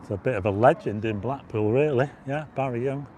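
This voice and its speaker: A man hosting a casual livestream on a windy seafront at dusk, chatting and reading out viewer comments, his voice going hoarse with frequent throat clearing. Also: mid-range voice, a little fast, a little mellow. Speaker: livestream host. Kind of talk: casual walking livestream chat.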